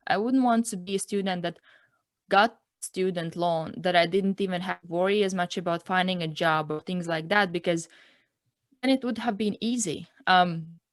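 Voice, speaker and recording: A woman in her twenties from Latvia, speaking English with a Latvian accent as her second language, telling her own story as an interview guest in a reflective, earnest way, filling pauses with "like" and "um". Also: fairly low pitch, no room sound, clean studio sound. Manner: reflective, earnest, peppers speech with "like" and "um". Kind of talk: personal story in a casual interview.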